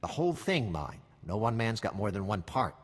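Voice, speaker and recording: A man's voice: on the low side, very animated, normal speed, breathy edge, in a large hall. A man voicing a weary, wry intelligence officer in a video game, briefing in a matter-of-fact, conspiratorial way. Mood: grave, urgent.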